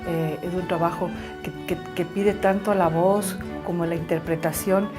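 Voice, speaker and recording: Actriz de voz algo grave. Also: female voice, lowish voice, fairly even intonation, normal speed, music in the background. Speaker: actress. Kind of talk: reflective interview talk.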